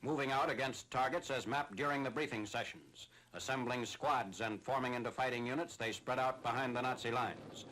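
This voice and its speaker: A man's voice: mid-range voice, moderate pace, hardly any pauses, bright, forceful tone. A man narrating a 1940s military newsreel from a script in a formal, clipped, factual manner. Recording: noisy 1940s newsreel soundtrack, engine drone.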